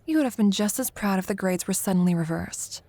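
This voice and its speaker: A young woman playing a competitive college student in an intimate audio roleplay, a teasing, prickly but secretly fond rival who talks haltingly. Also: mid-range voice, expressive, mellow, no room sound. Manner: teasing, halting, flustered deflection.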